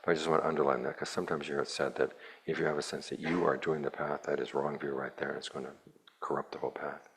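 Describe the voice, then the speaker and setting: Very deep gravelly monk giving a dharma talk. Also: male voice, little pitch movement, a bit bright, a little hiss or hum. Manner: plainspoken, measured, dryly wry. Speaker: Buddhist monk teacher. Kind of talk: dharma talk to a retreat group.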